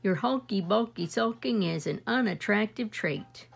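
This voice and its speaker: Lady reading as a no-nonsense octopus, says it straight, slow gravelly voice. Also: medium pitch, soft background music, heavily acted. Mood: blunt. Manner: blunt, straight-talking. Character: blunt eight-armed octopus.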